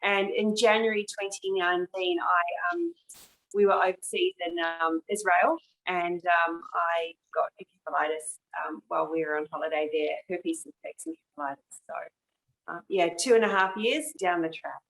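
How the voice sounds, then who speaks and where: A woman's voice: on the low side, lively intonation, moderate pace, gravelly, a bit bright, rough recording. A woman, a guest on a video call, telling her story hesitantly with many ums. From New Zealand. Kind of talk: personal account.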